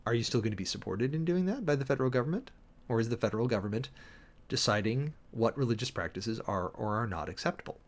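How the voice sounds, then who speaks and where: A man's voice: mid-range voice, expressive, fast talker. A man giving a dramatic, emphatic reading of an official document, breaking off often for sardonic asides and commentary as host. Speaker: host, preacher.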